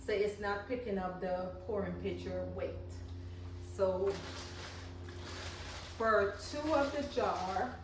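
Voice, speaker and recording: A woman's voice: mid-range voice, slow pace, pauses often, noisy background. A woman from Guyana with a Guyanese accent, casually demonstrating candle making in her home garage and chatting as she works. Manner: chatty, loosely repeats herself while working. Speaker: candle maker. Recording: echoey garage, mic far off. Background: passing cars and lawn mowing.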